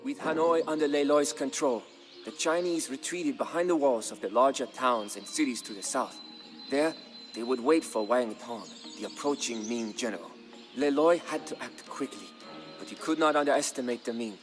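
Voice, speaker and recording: A man with a medium-pitched voice narrates a dramatic historical tale. He tells it in a measured, solemn way, like a scripted game storyline. Clear background music runs behind his voice.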